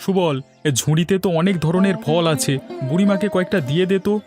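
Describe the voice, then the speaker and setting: Man acting a quick, higher-pitched village family man. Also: music in the background, natural voice. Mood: concerned. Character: village family man, bossy but charitable. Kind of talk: cartoon fairy-tale voice acting.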